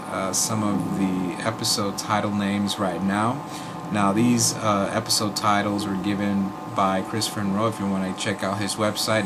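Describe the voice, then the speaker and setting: A man with a raspy voice from a sore throat, chatting casually to viewers and rambling with many fillers. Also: fairly low pitch, background noise. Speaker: YouTube host. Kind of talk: casual vlog commentary.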